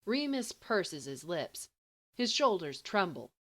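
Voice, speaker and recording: Casting: female fiction narrator with lively, varied intonation for storytelling. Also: lowish voice, takes it slowly. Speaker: volunteer fiction reader.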